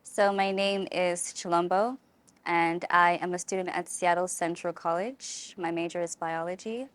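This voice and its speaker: A woman's voice: lowish voice, fairly even intonation, measured pace. A young woman from South Africa with a South African accent, a student talking earnestly and reflectively in an interview. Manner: earnest, reflective, speeds up when making a point. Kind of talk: candid interview answer.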